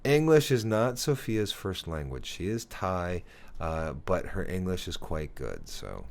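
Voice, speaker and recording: Low-voiced man hosting a podcast. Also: big pitch swings, unhurried, gravelly, a little hiss or hum. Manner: rambling, self-correcting, earnest. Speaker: podcast host. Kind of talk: casual conversational podcast.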